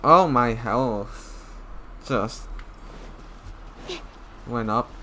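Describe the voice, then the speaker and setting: A man giving casual gameplay commentary in a halting, low-key way, often trailing off. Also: bright, forceful tone, slight background noise. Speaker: gamer. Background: game music.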